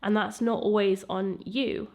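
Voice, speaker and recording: A woman's voice: mid-range voice, fairly even intonation, measured pace, vocal fry, a little mellow. A woman in her thirties, sounding nasal from a cold, talking candidly and a bit ramblingly to camera. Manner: candid, rambling, self-reflective. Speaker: YouTuber. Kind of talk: casual vlog talk to camera.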